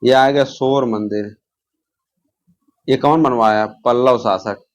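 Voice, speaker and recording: A man's voice: medium pitch, fairly even intonation, slow. A man teaching an informal exam-prep lesson, posing questions, answering them himself and repeating key terms.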